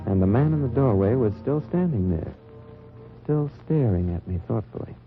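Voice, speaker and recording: An actor playing a dry, wry undercover agent who narrates in first person in a 1940s radio drama. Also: male voice, mid-range voice, lively intonation, moderate pace, faint music behind, natural voice. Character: hard-bitten American undercover agent narrating. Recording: lo-fi old radio transcription.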